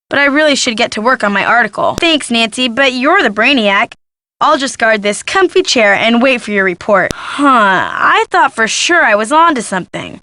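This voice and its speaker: A woman's voice: on the high side, bright, forceful tone, no room sound, heavily acted. A woman playing a gossipy, snarky young female photojournalist in a video game, with sardonic, dramatic emphasis. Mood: wry, teasing. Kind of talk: video game character dialogue.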